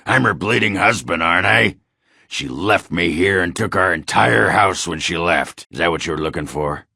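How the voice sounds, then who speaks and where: Very deep, gravelly dwarf warrior. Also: male voice, normal speed, sharp tone, dry recording, studio quality, exaggerated voice. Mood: bitter, indignant. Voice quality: gravelly, growling rasp. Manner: blustering, earthy swearing, sardonic. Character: gruff, crude, hard-drinking dwarf warrior man, cranky and boastful. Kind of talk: video game character acting.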